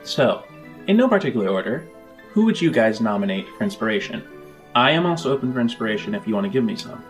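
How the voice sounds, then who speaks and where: A man's voice: mid-range voice, big pitch swings, quick pace, soft background music. A man hosting a tabletop role-playing show as its game master.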